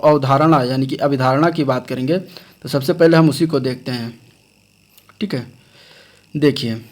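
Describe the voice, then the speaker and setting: A man teaching science for exam preparation, reading from a textbook and explaining it in an instructional way with frequent check phrases. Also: fairly high pitch. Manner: instructional, often adding a check phrase after points. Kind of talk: lecture read from a textbook with explanations.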